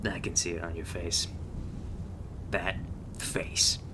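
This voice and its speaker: A low-pitched, gruff veteran monster hunter, acted out by a man for an intimate audio roleplay. He is blunt and emotionally closed off, talking haltingly with dry sarcasm. A clear rumbling noise like a storm sits behind the voice. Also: flat delivery. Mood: detached, bleak. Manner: blunt, halting, sardonic, sighing between thoughts. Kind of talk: ASMR roleplay, intimate one-sided dialogue. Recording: noisy, rumbling thunderstorm-like background sound.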